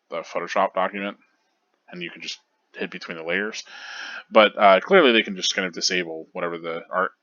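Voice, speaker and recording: Deep-voiced male video essayist, rambling and hesitant, dry slightly muffled recording. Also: bright, forceful tone, no room sound. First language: not Japanese. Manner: rambling, hesitant, many fillers and restarts. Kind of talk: casual commentary on manga pages.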